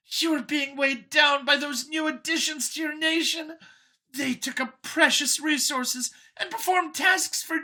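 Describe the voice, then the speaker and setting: Shrill, whiny alien scientist. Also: female voice, pushed and bright. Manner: gushing, over-eager justifying. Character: nervous, boastful female alien scientist, fanatical and elitist.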